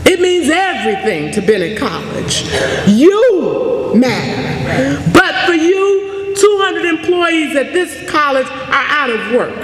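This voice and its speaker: A woman's voice: high voice, sing-song. Woman college president, echoey hall address. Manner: emphatic, deliberate oratory with repeated phrases. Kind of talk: inspirational address and audience Q&A. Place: large chapel, indoors. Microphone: podium microphone. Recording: echoey hall, faint noise and crowd.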